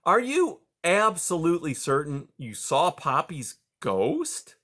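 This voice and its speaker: A man's voice: on the high side, wildly swooping pitch, takes it slowly, pushed and bright, voice pushed up, exaggerated voice. A man voicing a grown male mouse, a father, who talks patiently but presses for answers. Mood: pleading.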